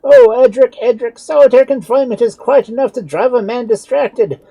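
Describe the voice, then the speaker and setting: A shrill wailing doctor. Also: male voice, fairly quick, vocal fry, mellow, husky, no echo, heavily acted. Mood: pleading. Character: panicked vain learned doctor, middle-aged man.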